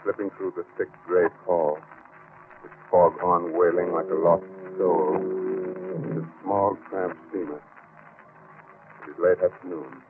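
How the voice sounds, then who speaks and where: Eerie radio horror host, a low-pitched man, mock-cordial and ominous, thin vintage broadcast sound. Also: fairly even intonation, takes it slowly, long pauses. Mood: brooding. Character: eerie genial horror host welcoming listeners. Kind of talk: 1940s radio horror narration. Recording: muffled, noisy 1940s radio recording.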